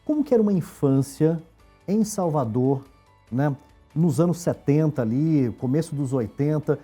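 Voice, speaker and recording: Dark-voiced Brazilian interview host. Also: male voice, on the high side, animated, normal speed, breathy edge, faint music behind. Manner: friendly, affirming, short backchannels. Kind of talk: podcast interview hosting.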